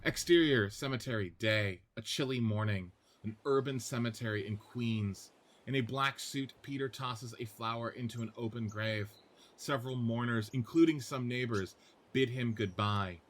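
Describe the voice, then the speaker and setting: A man's voice: mid-range voice, normal speed. A man narrating screenplay scene directions as a podcast host and reader. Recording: faint background noise.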